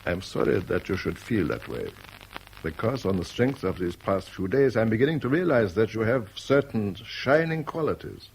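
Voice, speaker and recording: Silky formal crime boss in old radio play, husky, lively swooping pitch. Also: male voice, full character voice. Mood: silky, courteous. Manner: urbane, formal, measured phrasing. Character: suave, menacing male crime boss. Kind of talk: 1970s radio drama acting.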